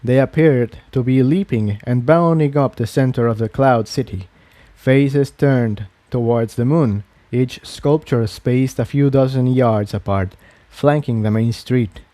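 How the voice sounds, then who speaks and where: A man's voice: medium pitch, a little slow, mellow, dry recording. A man reading a fantasy adventure story aloud as a scripted narrator. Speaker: narrator, fan fiction reader.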